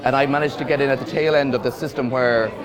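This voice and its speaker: An Irish man gives a reflective festival interview in an unhurried voice with a middle pitch. He restarts phrases and repeats words as he thinks. A loud crowd murmurs behind him. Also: fairly even intonation. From Ireland. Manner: thoughtful, repeats words while searching for phrasing. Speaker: film director. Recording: busy crowd noise behind.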